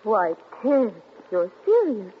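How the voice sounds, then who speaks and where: An actress playing a charming young wife who speaks lightly and affectionately but deflects questions, in 1940s radio acting style. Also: female voice, medium pitch, sing-song, slow pace. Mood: defensive. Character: charming young wife, sweet yet evasive. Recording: tinny noisy 1940s radio broadcast.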